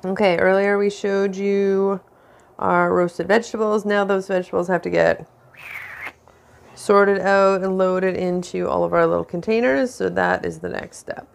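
A woman casually narrating her weekly meal prep in a home kitchen, with relaxed, dry running commentary. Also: mid-range voice, unhurried, creaky. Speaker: home cook vlogger.

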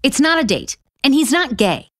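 A woman's voice: high-pitched, moderate pace, dry recording, clean studio sound. An actress playing a sarcastic, easily exasperated woman author in a romantic comedy audio drama. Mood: exasperated, incredulous. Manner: sarcastic, excitable.

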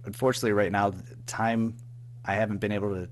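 A man with a fairly low voice gives a casual interview in a halting, self-effacing way. He feels his way through his answers hesitantly. There is a faint noise and hum on the recording. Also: flat delivery. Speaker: guest, audio drama writer and producer.

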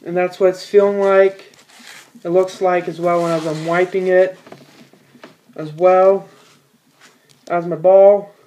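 Rambling teen guy with a mellow voice. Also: high-pitched, level tone, slow, pauses often. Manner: rambling, plain-spoken, many fillers and restarts. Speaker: bowler demonstrating ball cleaning. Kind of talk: casual how-to vlog. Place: small room indoors at home.

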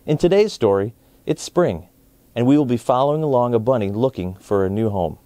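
Mellow American man reading to children. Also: medium pitch, expressive, a little fast, no room sound. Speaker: children's story time host. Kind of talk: read-aloud storybook narration for children.

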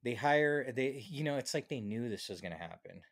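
A man's voice: medium pitch, animated, moderate pace. A man in his thirties chatting casually on a podcast in a rambling, slangy way, close to a studio mic. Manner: rambling, slangy, peppered with "dude". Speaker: podcast host. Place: small home studio. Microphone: close studio mic on boom arm.

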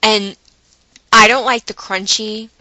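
A woman vlogger telling a personal story to her viewers in a chatty, animated way, with dramatic emphasis and acted-out asides. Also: mid-range voice, slow pace, no room sound, poor audio. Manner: chatty, dramatic emphasis, acting out her own inner dialogue.

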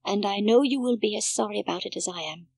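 A woman voicing a gentle, fatherly doctor in a storybook, speaking tenderly and soothingly. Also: mid-range voice, fast, gravelly, slightly rough.

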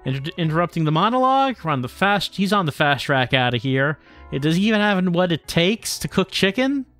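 A man's voice: on the high side, sing-song, fairly quick, a bit bright. A man livestreaming a visual novel, reading the text aloud and adding casual, dry, tongue-in-cheek asides. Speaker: streamer playing a visual novel. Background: game music.

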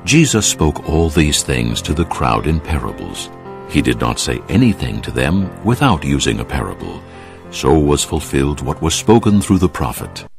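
An actor as a solemn male narrator, giving measured scripted narration in a dramatised audio Bible. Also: very deep voice, gravelly, darkish tone, full character voice. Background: music.

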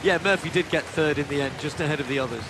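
A man giving live play-by-play athletics commentary, building to shouts as the race unfolds. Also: fairly high pitch, fast. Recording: broadcast commentary over loud stadium noise.

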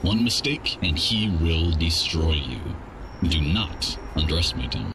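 Deep deadpan robot crime boss, acted by a man. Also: unhurried. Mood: stern. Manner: deadpan, dismissive. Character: cold, menacing robot contract broker. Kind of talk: animated game cutscene acting. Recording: clear noise behind the voice.

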